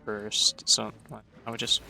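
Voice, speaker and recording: A man streaming a video game, thinking aloud in a casual, rambling way. His voice is fairly low but bright and forward, with faint music underneath. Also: monotone, measured pace, creaky. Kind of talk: casual live gameplay commentary.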